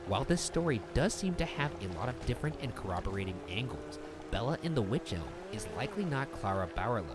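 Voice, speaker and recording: A man reading a scripted mystery narration in a measured, storytelling delivery. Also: medium pitch, big pitch swings. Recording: narration over background music.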